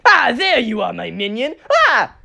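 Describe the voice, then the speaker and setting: A woman voicing a scheming male shadow-rabbit villain in a homemade story, speaking in slow, drawn-out commanding declarations. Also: on the high side, sing-song, creaky, pushed and bright, husky, voice pushed up, heavily acted.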